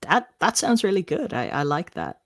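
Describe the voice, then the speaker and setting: A woman guesting on a writing stream in casual chat, relaxed and quick to murmur soft agreement. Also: fairly low pitch, no echo, clean studio sound. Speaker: guest writer.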